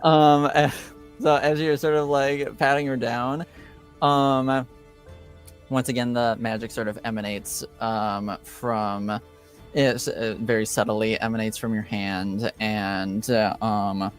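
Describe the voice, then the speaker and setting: A game master narrating a tabletop roleplaying session in a hesitant, halting way, searching for words. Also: mid-range voice, fairly even intonation, soft background music.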